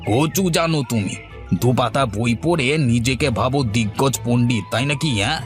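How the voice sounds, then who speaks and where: A man's voice: medium pitch, normal speed, soft background music, lightly acted. A man voicing a boastful, money-minded village charm-seller in a cartoon, emphatic and argumentative. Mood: indignant. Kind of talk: cartoon dialogue.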